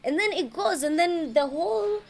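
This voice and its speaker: A woman's voice: very high voice, normal speed. A young woman with a Kenyan accent, co-hosting a casual chat and switching between languages. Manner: code-switching, animated. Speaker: podcast co-host.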